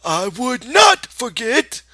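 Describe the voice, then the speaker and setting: Childlike giant farmhand, high strained wail, pleading, crisp dry sound. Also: male voice, fairly even intonation, slow, sharp tone, dry recording, heavily acted. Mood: frantic.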